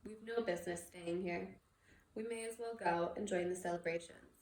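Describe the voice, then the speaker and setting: A woman voicing a wise, calm old male wizard professor, measured, formal and gently whimsical, in a storybook reading. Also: on the low side, close to an everyday voice. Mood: resigned. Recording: echoey room with background noise.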